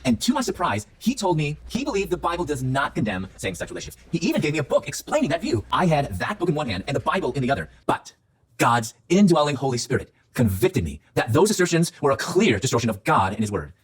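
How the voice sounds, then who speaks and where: A man delivers an earnest, rehearsed religious testimony at a quick pace. His husky voice swings widely in pitch, with emphatic phrasing. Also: sing-song.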